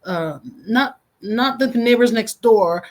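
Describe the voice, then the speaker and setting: A woman hosting an interview, asking measured, thoughtful questions. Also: on the high side. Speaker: host.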